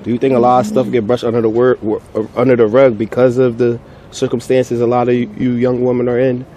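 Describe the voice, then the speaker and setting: A man's voice: medium pitch, flat delivery, brisk, dark tone. A man conducting a casual street interview, speaking informally and checking back on answers. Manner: informal, echoes answers, frequent "yeah". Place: outdoors on a city street, off camera. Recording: outdoor street noise, passing vehicles.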